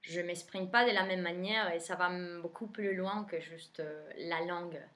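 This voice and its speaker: A woman's voice: on the low side, fairly even intonation, normal speed, fairly bright tone. A young adult woman from Argentina, a tarot reader whose native tongue is Spanish, talking casually in French with an Argentine Spanish accent and many hesitant fillers. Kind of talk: casual spoken video introduction.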